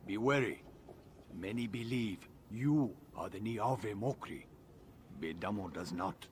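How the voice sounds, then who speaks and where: A low-voiced, solemn alien warrior for a video game. He is a loyal ally who speaks in formal, clipped phrases, with faint noise behind the voice. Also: slow pace. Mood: grave. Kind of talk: video game character acting.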